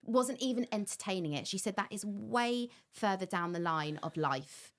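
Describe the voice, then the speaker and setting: A woman hosting a podcast interview, chatty, with quick asides and frequent interjections. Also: medium pitch, animated, a bit bright. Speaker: host, life coach and therapist.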